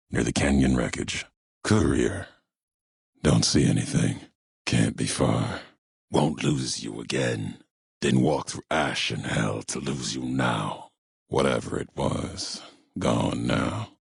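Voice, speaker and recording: A haunted, vengeful wanderer from a video game. The voice is extremely low and thick with gravelly fry, worn husky at the edges. He speaks gravely in short, cryptic, measured phrases, in no hurry at all. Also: dry recording, studio quality, heavily acted. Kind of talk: video game character dialogue, solemn monologue.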